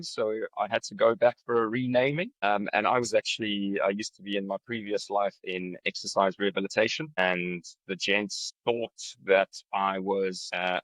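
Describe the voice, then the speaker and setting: A man's voice: lowish voice, fairly bright tone, no echo, rough recording. A South African man in his thirties, a podcast guest telling his story in an easygoing way. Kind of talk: casual interview.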